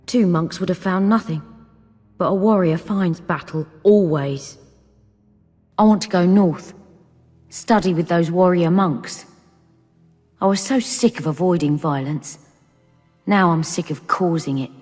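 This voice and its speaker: An actress playing a young, blunt and earnest female warrior monk in a fantasy game, plain-spoken and husky. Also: on the low side, unhurried, pauses often, a little mellow. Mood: weary, resolute. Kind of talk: dramatic fantasy game acting. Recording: long reverb, music behind.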